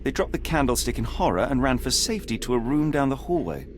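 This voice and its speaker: A man playing a composed, sharp-minded detective in a video game, speaking with precise, measured, analytical authority. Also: mid-range voice, big pitch swings, background noise, close to an everyday voice. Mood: analytical, intent. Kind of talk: dramatic detective game dialogue and deduction narration.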